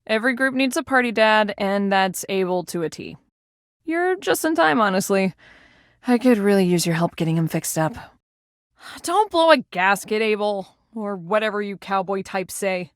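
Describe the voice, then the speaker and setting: A woman's voice: medium pitch, animated, normal speed, no room sound, studio quality, natural voice. A woman playing an easygoing, teasing, party-loving friend in a video game, casual, joking and a little rambling. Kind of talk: video game character voice acting.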